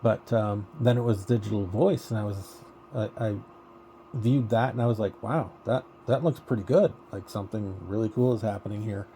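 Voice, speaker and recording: Male radio hobbyist, dark relaxed tone, casual and hesitant, thinking out loud, slightly noisy signal. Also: lowish voice, moderate pace. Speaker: amateur radio operator. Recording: noisy amateur radio digital voice contact.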